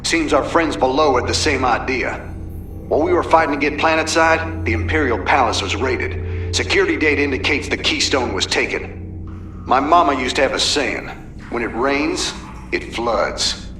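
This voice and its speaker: Gruff Southern rebel commander played by a man. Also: mid-range voice, normal speed, pushed and bright, soft background music, close to an everyday voice. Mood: wry, steady. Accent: Southern American. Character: gruff, weary frontier rebel commander, middle-aged man. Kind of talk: video game cinematic and mission dialogue acting.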